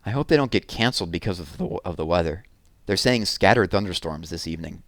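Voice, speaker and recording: A man voicing a gentle, tired, worried mother in a children's story. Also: sits low, expressive, fast talker, dry recording. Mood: apologetic.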